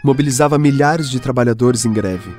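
A Brazilian-accented male narrator tells a radio drama story in a measured way. His mid-pitched voice sits over clearly audible music. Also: darkish tone.

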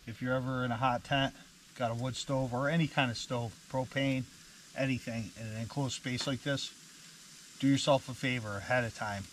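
Outdoor vlogger, thirties, male, easygoing rambling delivery, mid pitch, faint outdoor hiss behind. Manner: relaxed, rambling with fillers and restarts. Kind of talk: casual camp vlog. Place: inside a canvas hot tent in autumn woods.

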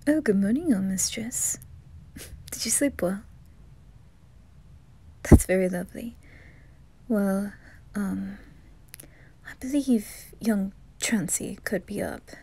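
A woman voicing a polite, attentive male butler in an ASMR roleplay, soft and whispery, with a courteous, deferential manner. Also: medium pitch, takes it slowly, pauses often, slightly rough. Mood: courteous, gentle.

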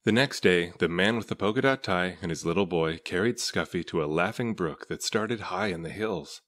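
Low-voiced man reading a storybook. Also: normal speed, a bit bright. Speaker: storybook reader. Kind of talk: read-aloud children's story narration.

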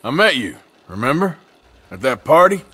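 A man's voice: medium pitch, very animated, normal speed, pushed and bright, breathy edge, full character voice. A male actor playing a laconic adventurer outlaw in a video game, with an American accent and a hesitant, understated, wry delivery. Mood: awkward. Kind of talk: video game character acting.

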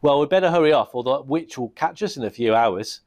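Brisk, practical young storybook traveller, a bit loud , voiced by a man. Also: mid-range voice, lively intonation, natural voice. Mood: urgent.

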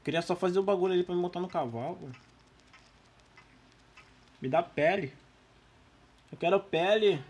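A Brazilian man who is losing his voice, giving casual, slangy, excitable gameplay commentary. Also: high voice, moderate pace, long pauses, husky. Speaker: gaming YouTuber.

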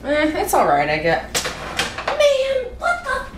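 Young woman vlogger, very animated, unhurried, joking around in a noisy, echoey kitchen. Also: very high voice. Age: young adult. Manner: silly, self-mocking, loud outbursts. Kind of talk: goofy cooking vlog. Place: home kitchen at night. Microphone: camera across the kitchen. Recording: echoey kitchen, audible noise.

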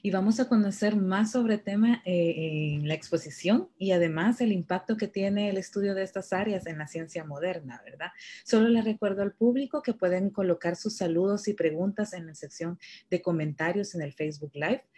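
A woman moderating a virtual panel over a video call, polite and measured. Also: mid-range voice, dark tone.

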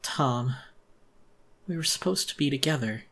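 Horror audiobook villainess, played by a man: vicious animal monster, high strained voice, unhinged and menacing. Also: expressive, a little slow, fairly bright tone, slightly rough, full character voice. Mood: anguished, dazed. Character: deranged female animal monster, vicious, obsessive and anguished.

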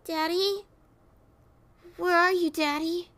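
A woman's voice: extremely high pitch, steady tone, takes it slowly, fairly bright tone, voice pushed up, heavily acted. A woman voicing a frightened little boy, earnest and childlike, in a horror story. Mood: pleading, scared.

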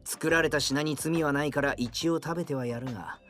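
An actor playing an arrogant, grudging young hero and gourmet in an anime audio drama, with a pompous, blustering, rough way of speaking. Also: male voice, a little high, brisk, fairly bright tone, faint music behind, lightly acted. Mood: haughty.

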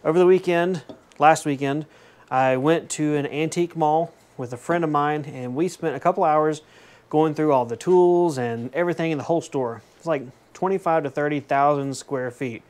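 A man in his thirties, chatty and enthusiastic, showing off tools in a small home woodworking shop, often correcting himself with jokey asides. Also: on the high side. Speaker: woodworking vlogger. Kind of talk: casual show-and-tell vlog. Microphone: fixed camera at desk distance.

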